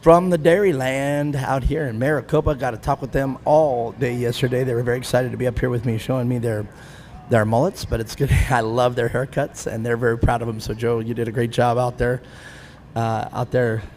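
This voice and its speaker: A man's voice: medium pitch, steady tone, a little fast, a little mellow, slight background noise. A man in his fifties announcing an off-road race live from the grandstand, chatty and self-deprecating with frequent laughs. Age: 50s. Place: grandstand at an outdoor race track.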